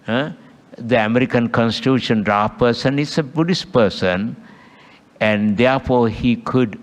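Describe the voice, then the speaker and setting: An older Buddhist monk with a Sri Lankan accent, his first language Sinhala, giving a dhamma talk in halting, broken English and checking in with a questioning "huh?". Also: on the low side. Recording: long hall reverb.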